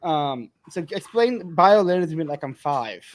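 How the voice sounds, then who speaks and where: A man's voice: fairly high pitch, animated, moderate pace. A man hosting a live podcast, chatty and rambling, laughing often and a bit tipsy. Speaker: podcast host. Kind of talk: casual chat.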